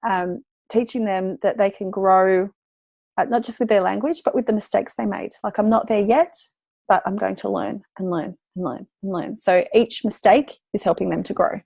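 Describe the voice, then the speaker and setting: A woman's voice: mid-range voice, fairly even intonation, moderate pace, creaky, mellow, husky, no room sound. A woman who is losing her voice, talking in a chatty, encouraging way to parents about teaching children. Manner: chatty, encouraging, lots of fillers. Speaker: parenting educator. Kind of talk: casual talk to parents.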